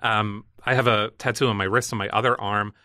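Bright-voiced man, softened Northern Irish accent. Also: on the low side, fairly even intonation, brisk, no room sound, clean studio sound. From Northern Ireland. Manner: rambling, self-deprecating, laughs often. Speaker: podcast guest. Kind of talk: casual chat.

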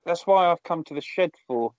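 Male co-host, deadpan rambling asides, dull dry remote audio. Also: a little high, normal speed, dry recording. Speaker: podcast co-host. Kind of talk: casual chat. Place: small garden shed.

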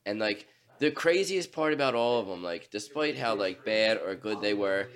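A man's voice: lowish voice, lively intonation, normal speed, fairly bright tone. A young man chatting casually as a podcast co-host, rambling with lots of fillers and self-deprecating. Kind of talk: casual chat.

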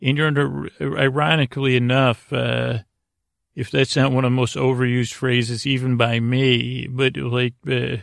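A man with a creaky voice hosting a bedtime podcast, rambling along in a meandering, halting way and interrupting himself. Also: mid-range voice, little pitch movement, dry recording, studio quality. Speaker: podcast host.

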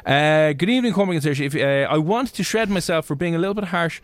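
An Irish man co-hosts a radio show and reads out listener messages in quick, joking banter. He talks fast and teases his co-host. His voice is close and dry, with no room sound. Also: on the high side, animated, no room sound. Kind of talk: casual radio chat reading listener messages.

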